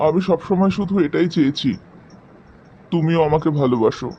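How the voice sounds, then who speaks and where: Casting: man as defiant, hurt young boyfriend, cartoon drama, rather high yet mellow voice. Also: normal speed, close to an everyday voice. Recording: slightly dull sound, faint noise.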